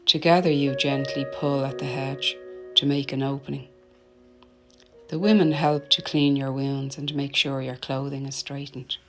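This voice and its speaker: A woman narrating a guided meditation in a soothing, gentle, deliberate manner, letting her phrases end softly. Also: rumbling low, flat delivery. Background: meditation music.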